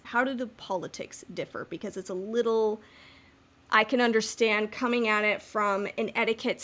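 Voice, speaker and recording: A woman's voice: mid-range voice, a bit bright. A woman co-hosting a podcast in a casual fan discussion, talking in a playful, rambling, informal way. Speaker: podcast co-host.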